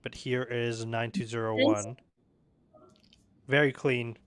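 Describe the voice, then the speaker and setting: A man's voice: medium pitch, little pitch movement, slow pace, fairly bright tone, clean tone, dry recording. A man hosting a live stream, commenting casually and joking loosely as he reviews submissions. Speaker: livestream host.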